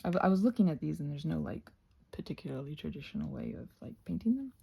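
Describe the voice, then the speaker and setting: A woman's voice: deep voice, big pitch swings, fast, vocal fry, warm tone, breathy edge. A North American woman chatting casually while crafting, playful and scatterbrained, thinking aloud and often trailing off into murmurs. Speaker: craft and ASMR vlogger.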